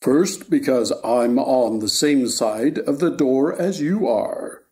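Man as a slow, deadpan frog footman. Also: medium pitch, natural voice. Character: dull, literal-minded frog footman. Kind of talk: stage play reading.

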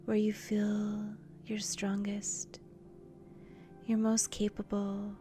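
A woman leading a guided meditation in a soft, breathy, near-whispered voice, gentle and soothing, with long pauses between short phrases. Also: mid-range voice. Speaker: meditation guide, channel host.

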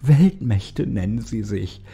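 A man's voice: lowish voice, lively intonation, slow, gravelly, dark tone, breathy edge, muffled recording, heavily acted. A man voicing a despairing, drugged Egyptian man in a horror story, bitter, defiant and impassioned. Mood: angry, bitter. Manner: impassioned, raised, bitter delivery.

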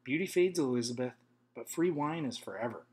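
A man's voice: medium pitch, lively intonation, brisk, darkish tone, lightly acted. A man voicing a playful, bossy young princess who teases her friend in a storybook reading. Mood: teasing. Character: chatty teasing young princess woman.

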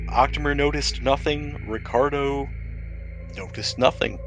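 A man's voice: medium pitch, measured pace. Casual man hosting a tabletop game, over music. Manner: casual, sweary. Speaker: game master and podcast host. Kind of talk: tabletop game narration and banter. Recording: music behind the voice.

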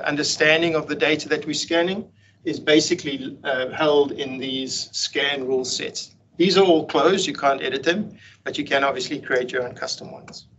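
A man gives a technical walkthrough of software features from a home room on a video call, pausing often with ums and restarting sentences. His voice sits a little higher than most men's. The computer-mic audio is dull and lo-fi, with a faint hiss underneath. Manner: relaxed, explanatory, frequent ums and restarts. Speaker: meetup presenter. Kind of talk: technical presentation over slides.

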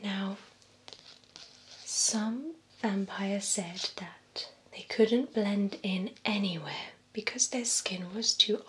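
A young woman performs a calm female vampire beautician in an ASMR roleplay. Her voice is a soft, breathy whisper, very slow and deliberate, with gentle attention to each client. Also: mid-range voice, slight background noise, rough recording. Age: young adult. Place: small indoor room with bookshelves.

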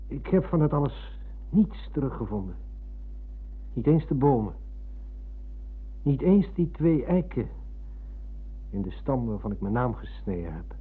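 Radio drama: courteous, wistful former pupil, husky mid-pitched voice, narrow vintage sound. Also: male voice, sing-song, a little slow, long pauses, heavily acted. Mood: disappointed. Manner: courteous, reflective. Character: nostalgic, polite former pupil visiting his old teacher. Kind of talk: radio play acting. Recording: muffled, lo-fi old radio recording.

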